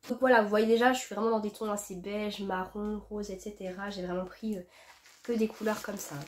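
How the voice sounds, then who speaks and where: A woman's voice: medium pitch, fairly even intonation, a little fast, slight background noise. Young woman, stuffy from a cold, chatty home vlog delivery full of fillers. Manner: chatty, many fillers and restarts. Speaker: fashion vlogger. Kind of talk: casual haul chat to camera. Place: at home, indoors.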